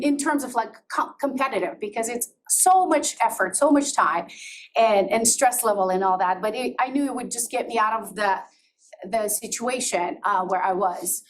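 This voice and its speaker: A middle-aged woman from Azerbaijan, whose first language is Georgian, speaks frankly to an audience in a presentation room. She laughs often and breaks off to restart her sentences. Her fairly high voice sounds far from the mic, with a faint hiss underneath. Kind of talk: personal talk to an audience.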